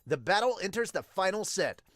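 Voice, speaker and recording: An intense sports commentator from a manga, voiced by a man. He speaks emphatically and portentously, pitched up a little. The sound is close and dry. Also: sing-song, a little fast, fairly bright tone, no echo. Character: dramatic sports match commentator, intense and serious. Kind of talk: manga dub match narration.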